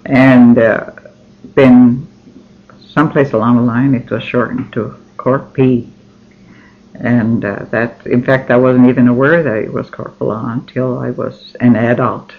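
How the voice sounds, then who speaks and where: An older man whose first language is Finnish, recalling his childhood slowly in an oral history interview, with many pauses and restarts. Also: medium pitch, fairly even intonation, creaky, a little hiss or hum. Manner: slow, halting, many ums and restarts. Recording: dull lo-fi recording.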